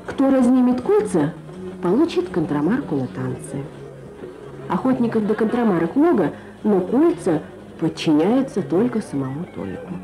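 A woman's voice: mid-range voice, big pitch swings, normal speed, low-quality recording. A woman presenter reading a scripted feature in the style of Soviet radio. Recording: archive radio broadcast with music.

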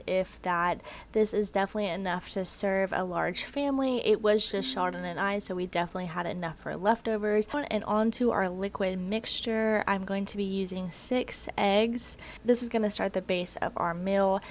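A woman's voice: mid-range voice, slight background noise. Casual woman narrating a recipe, lo-fi. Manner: casual, self-deprecating. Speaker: cooking vlogger.

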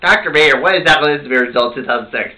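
A man improvising amateur puppet show dialogue as the puppeteer, with a halting, rambling delivery. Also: on the high side, pushed and bright, rough recording. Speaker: puppeteer voicing all the characters.

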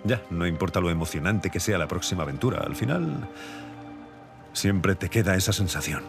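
A man's voice: low-pitched, animated, moderate pace, creaky, over music. A man voicing a weary, affectionate younger-brother adventurer in a video game, speaking sincerely with a European Spanish accent. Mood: wistful, reassuring. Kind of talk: Spanish dub of cinematic game drama.

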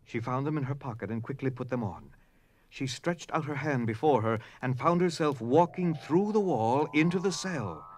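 A man's voice: mid-range voice, moderate pace, darkish tone. A man narrating an audio drama in a dramatic, scripted storytelling style. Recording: noticeable background noise.

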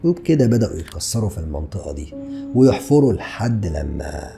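A man's voice: sits low, sing-song, fast, gravelly, over music. A man in his thirties with an Egyptian Arabic accent, narrating a true crime story and asking the listener rhetorical questions. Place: small dark studio indoors. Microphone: podcast mic on stand in front.

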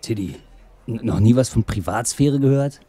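A man's voice: medium pitch, moderate pace, mellow, slightly rough, close to an everyday voice. A man playing a weary, terse private investigator with a dry manner in an audio drama.

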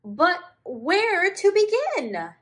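An excitable cartoonish storybook animal voiced by a woman, very high and loud. The lines are stretched out slowly in a sing-song swoop, exclaimed eagerly for young listeners. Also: exaggerated voice. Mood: drawn-out, eager. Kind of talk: slow expressive storybook read-aloud for children.

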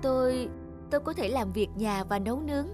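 A woman voicing an earnest, kind-hearted young woman in an animated folktale, with music behind her. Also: high voice, fairly quick, natural voice. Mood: pleading. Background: background music.

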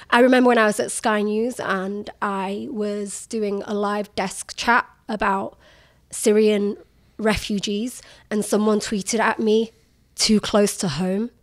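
A woman in her thirties speaks as a guest journalist, forthright and emphatic. She talks at an ordinary pitch in a loose conversational flow, full of casual fillers, and presses hard on the points she cares about. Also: fairly even intonation, slow pace. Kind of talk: candid conversational interview.

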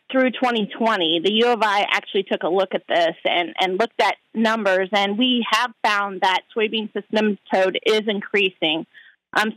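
A woman agronomist answering interview questions with frequent pauses and fillers. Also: medium pitch, fairly bright tone, dry recording, low-quality recording. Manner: hesitant, many fillers. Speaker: outreach agronomist guest. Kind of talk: interview by phone. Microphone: phone line.

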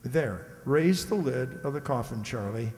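Police inspector, played by an older man: mid-pitched, measured, no-nonsense, radio mystery staged in a reverberant hall. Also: lively intonation, darkish tone, a little hiss or hum. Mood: brisk, dismissive. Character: matter-of-fact, reassuring male police inspector. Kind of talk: old-time radio mystery acting. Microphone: stand microphone on stage. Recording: echoey hall, live stage performance.

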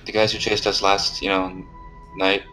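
A male player talks through a tabletop game in a casual, playful, inquisitive way. His voice is low yet bright and forceful, with soft music in the background. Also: flat delivery, normal speed, low-quality recording. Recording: music behind the voice.

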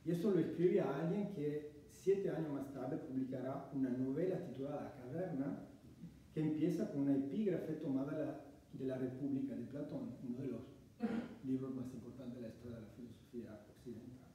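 A man's voice: medium pitch, warm tone, recorded from a distance. Italian man lecturing in an echoey room. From Italy. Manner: measured, reading from notes. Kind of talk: academic lecture. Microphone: far camera.

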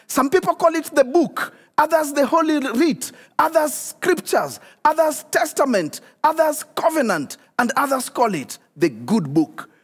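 A middle-aged man preaching a sermon as a pastor, animated and speaking directly to his viewers. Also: high-pitched, breathy edge. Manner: animated, addresses viewers directly, repeats key questions. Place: church stage studio, indoors. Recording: long hall reverb.

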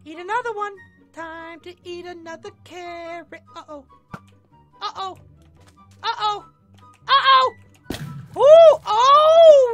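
A woman playing an excitable, easily flustered young cartoonish heroine in a Minecraft roleplay, exclaiming and repeating her phrases. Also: extremely high pitch, heavily acted. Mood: playful, combative. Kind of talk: Minecraft roleplay gameplay acting.

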